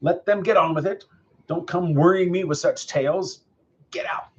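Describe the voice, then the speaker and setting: A man voicing a grumpy, blustering farmer from a storybook, scolding in gruff, rustic speech. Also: on the high side, normal speed, muffled recording. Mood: dismissive.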